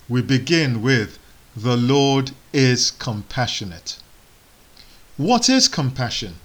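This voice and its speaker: A man whose first language is Igbo, giving a sermon in slow, measured phrases with solemn pauses. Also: fairly high pitch, sharp tone. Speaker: preacher.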